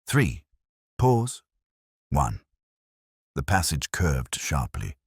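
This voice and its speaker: Very deep, husky male mystery narrator. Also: very animated, drawn-out words, no room sound, clean studio sound. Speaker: audiobook narrator. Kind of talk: dramatic scripted mystery narration.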